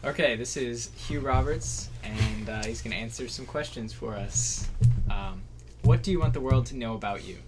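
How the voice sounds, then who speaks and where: A man asking interview questions in a quiet, hesitant way. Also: medium pitch, normal speed, hardly any pauses, music in the background.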